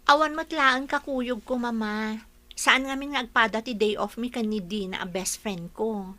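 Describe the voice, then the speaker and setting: Bright, high-pitched young woman, expressive. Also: normal speed. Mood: wistful. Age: young adult. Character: young single woman, hospital worker, still heartbroken, frank. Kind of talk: Ilocano audio drama acting.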